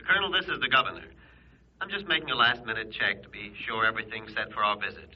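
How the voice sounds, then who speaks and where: A man's voice: lowish voice, fast talker, lightly acted. Man playing formal governor, muffled radio. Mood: cordial, official. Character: courteous, self-assured state governor. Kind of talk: old-time radio adventure acting. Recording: muffled old radio recording.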